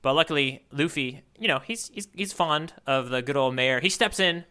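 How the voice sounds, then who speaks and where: A man with a very bright, forward voice that sits a little high. He talks like a podcast co-host bantering with a friend, recapping a story in a casual, playful way. His delivery is animated and has a mock-earnest streak. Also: moderate pace, clear, resonant tone. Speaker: podcast co-host playing the tourist.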